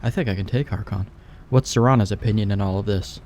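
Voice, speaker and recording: A man with a fairly low voice comments casually on a video game. He is laid-back and slangy, often thinking out loud. A faint ambient sound runs behind him. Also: fast talker, slight background noise. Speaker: let's play host.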